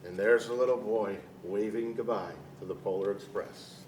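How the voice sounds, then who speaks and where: A man reading a picture book aloud to children in a deliberate, dramatic storyteller's manner. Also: lowish voice, steady tone. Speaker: storyteller dressed as Santa Claus, reading to children. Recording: echoey room, distant mic, faint noise.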